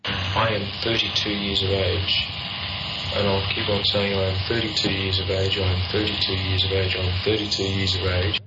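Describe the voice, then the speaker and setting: A man in his thirties speaks slowly and categorically, repeating the same line. His voice is very low and flat. The archive recording is dull, heard from a distance, with clear background noise. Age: 30s. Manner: deliberate, repeats himself categorically.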